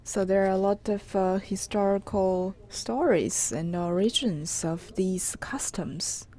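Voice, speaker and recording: A woman's voice: mid-range voice, slow pace, warm tone. A woman explaining local sights live, hesitant and often searching for her words.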